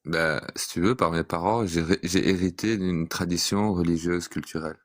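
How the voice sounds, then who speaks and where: A man in an online debate, speaking calmly and methodically and reciting verses to make his point. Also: low-pitched, normal speed, no echo, studio quality. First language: French speaker.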